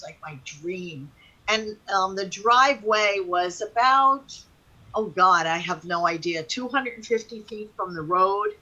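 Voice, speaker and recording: Bright-voiced woman telling casual stories. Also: mid-range voice, dull audio. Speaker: talk show participant. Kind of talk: casual personal storytelling.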